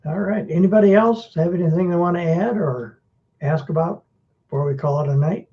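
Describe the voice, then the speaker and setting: A man's voice: high-pitched, brisk, lo-fi sound. A man leading a group discussion and coaching in a casual way. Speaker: discussion leader.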